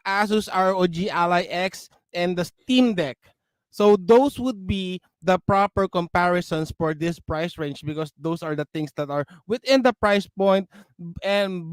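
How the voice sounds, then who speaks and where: Male panelist, high smooth voice, matter-of-fact explainer, dry close sound. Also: no room sound. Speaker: gaming show panelist. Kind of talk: casual panel commentary.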